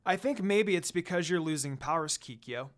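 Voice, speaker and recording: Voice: medium pitch, expressive, normal speed, close to an everyday voice. A narrator voicing a blunt, suspicious young woman who challenges others pointedly in a fan story. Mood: accusing.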